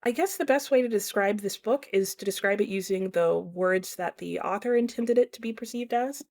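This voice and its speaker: A woman with a mid-pitched voice gives a casual, conversational book review in vlog style. She explains her opinions plainly, as if talking to viewers. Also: normal speed, a little mellow.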